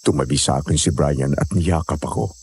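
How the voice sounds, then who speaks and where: A man's voice: very deep voice, brisk, a little mellow, a little hiss or hum. A man narrating a scripted first-person horror story.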